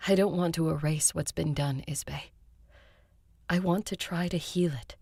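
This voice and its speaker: A woman playing an ancient vampire leader in an audio drama, earnest, measured and resolute. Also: deep voice, a little mellow, slightly rough, no room sound, clean studio sound. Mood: earnest resolve. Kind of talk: audio drama acting and first-person narration.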